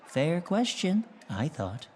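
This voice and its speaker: A man's voice: on the high side, big pitch swings, takes it slowly, slight background noise. An actor playing a smug, evasive male ex-villain without powers, speaking in a pompous, self-important way in a comedic audio drama.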